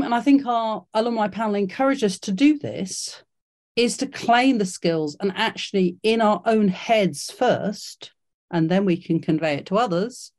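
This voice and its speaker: A woman's voice: mid-range voice, a little mellow. A woman hosting an online careers workshop over a video call, encouraging and full of fillers and restarts. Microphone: video call mic.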